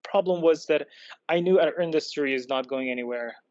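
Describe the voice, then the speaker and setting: A man's voice: fairly high pitch, brisk, a little mellow, no echo. Man from Iran, dull dry recording. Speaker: podcast guest, product designer. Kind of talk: personal storytelling in an interview. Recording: dull lo-fi close dry sound.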